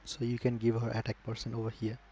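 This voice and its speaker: A man giving casual, instructive advice on a live gaming stream, speaking in a halting way. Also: mid-range voice, completely flat delivery, dark tone, lo-fi sound. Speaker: game streamer. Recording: noisy live stream microphone.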